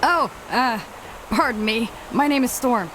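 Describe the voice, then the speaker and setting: A woman's voice: a little high, expressive, measured pace, fairly bright tone, a little hiss or hum, low-quality recording. A woman voicing a bold, no-nonsense young female Smurf in a cartoon video game, confident and forthright. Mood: alert, confident. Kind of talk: animated video game voice acting.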